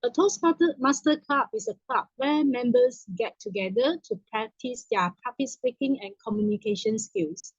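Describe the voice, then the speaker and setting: A woman's voice: a little high, expressive, normal speed, few pauses, no echo. A woman hosting a club meeting, explaining its program in a formal, explanatory way. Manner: formal, explanatory, some restarts. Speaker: meeting host, toastmaster of the evening. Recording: dull lo-fi dry sound.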